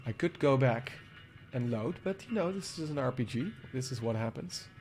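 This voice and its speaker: A man's voice: medium pitch, animated, normal speed, a little mellow, soft background music. A man casually commenting on a game as he plays it, sniffling from a cold and musing to himself. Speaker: game streamer. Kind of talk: casual let's-play commentary.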